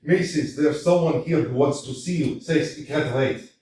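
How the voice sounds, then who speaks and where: A man's voice: fairly high pitch, normal speed, darkish tone, recorded from a distance, a little hiss or hum, close to an everyday voice. An actor playing a blunt, teasing older male servant in a staged reading, chatty and down-to-earth. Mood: flustered. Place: small community theater stage. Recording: echoey stage picked up from afar.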